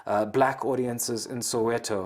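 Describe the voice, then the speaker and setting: A man's voice: mid-range voice, fairly even intonation, darkish tone. A man from Zimbabwe, a stand-up comedian chatting as an interview guest, often repeating words as he restarts. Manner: rapid talk with many repeated words and restarts. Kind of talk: casual interview chat.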